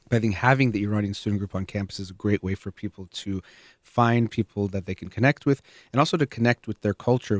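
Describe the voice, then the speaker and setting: A man hosting a conversational discussion, thoughtful and pausing to explain terms for listeners. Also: lowish voice, no room sound.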